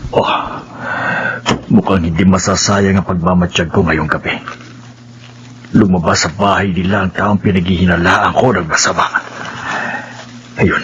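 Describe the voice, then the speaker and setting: A low, resolute male voice of an actor plays a village man hunting a monster in a radio horror drama. He is suspicious and deliberate, building suspense. The audio is dull, with loud noise behind him. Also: natural voice. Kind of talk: Filipino radio horror drama acting, narrating in first person.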